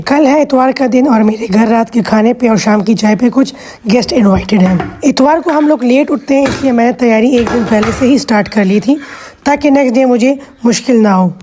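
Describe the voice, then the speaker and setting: Female cooking vlogger, husky-hoarse, high and mellow, patient step-by-step narration. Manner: patient step-by-step instruction, chatty asides. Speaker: cooking channel host. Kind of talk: cooking vlog voice-over.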